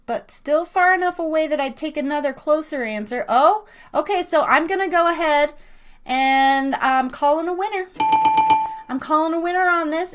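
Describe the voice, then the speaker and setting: High-voiced woman hosting a live trivia game. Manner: excitable, playful, chatty, with drawn-out emphasis. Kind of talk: casual live-stream game hosting, chatting with listeners.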